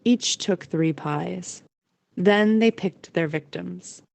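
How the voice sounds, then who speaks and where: A woman's voice: fairly low pitch, slow, gravelly, a little mellow. A woman narrating a scripted story as the narrator of an audio play.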